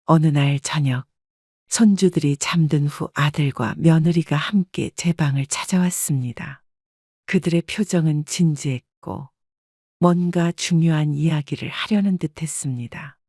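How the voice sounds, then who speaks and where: 차분하게 일인칭 사연을 읽는 여성. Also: female voice, deep voice, unhurried, mellow, no echo, clean studio sound. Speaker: storyteller. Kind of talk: scripted first-person narration.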